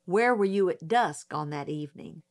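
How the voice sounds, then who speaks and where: A woman's voice: lowish voice, lively intonation, normal speed, no echo, studio quality. A woman reading a storybook voices a patient, formal male prosecutor questioning a witness.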